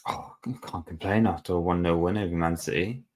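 Low-voiced man, rambling casually. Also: a little fast. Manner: relaxed, rambling with fillers and restarts. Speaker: podcast co-host. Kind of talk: casual football chat.

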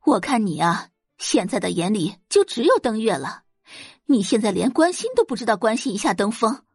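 Woman voicing an exasperated, nagging rich mother, swooping pitch. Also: very animated, normal speed, darkish tone, husky, no echo, clean studio sound. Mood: nagging complaint. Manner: scolding, complaining, turning coaxing for money. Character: exasperated middle-aged wealthy mother, nagging wife.

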